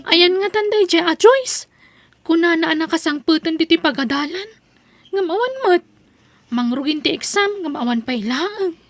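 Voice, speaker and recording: A woman's voice: very high voice, expressive, moderate pace. A woman playing a bold, outspoken young schoolgirl in an Ilocano audio drama, with an Ilocano accent. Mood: irritated.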